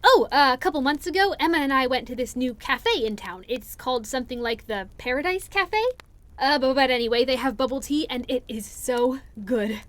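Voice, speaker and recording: High-voiced, hesitant young woman, acted by a woman. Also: expressive, brisk. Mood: nervous, sheepish. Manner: hesitant, rambling, earnest. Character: shy, anxious, affectionate young friend by a bonfire. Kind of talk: interactive audio roleplay acting.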